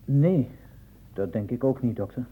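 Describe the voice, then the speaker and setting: An actor playing a deferential assistant who reports in clipped, orderly phrases, in a Dutch radio drama on an old recording. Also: male voice, lively intonation, unhurried, breathy edge, phone-quality sound. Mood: factual, orderly. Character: deferential male assistant reading out medical case reports. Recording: old radio recording, faint rumbling noise.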